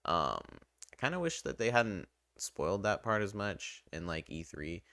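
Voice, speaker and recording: A man's voice: deep voice, expressive, normal speed, sharp tone, dry recording, studio quality. A man giving a casual, unscripted video game review in a conversational, hesitant way, weighing his opinions as he goes.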